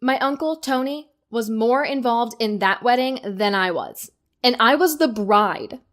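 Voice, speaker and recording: A woman's voice: a little high, lively intonation, measured pace, fairly bright tone. Woman acting a wry, outspoken young wife. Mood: sardonic. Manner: teasing, conversational. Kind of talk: audio drama acting.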